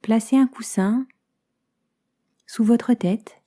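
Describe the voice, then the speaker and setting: A woman leads a guided relaxation. Her voice is fairly high yet very dark and velvety, softening into breath at the ends of phrases. She gives gentle, soothing instructions and leaves long pauses between them. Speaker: yoga nidra teacher guiding a relaxation session. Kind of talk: guided meditation.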